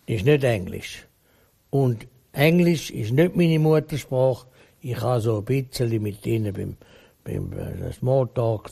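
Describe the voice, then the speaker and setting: A man's voice: medium pitch, vocal fry, darkish tone, breathy edge. A man with a Swiss German accent, talking bluntly and emphatically in a rambling interview answer. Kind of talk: opinionated conversational interview.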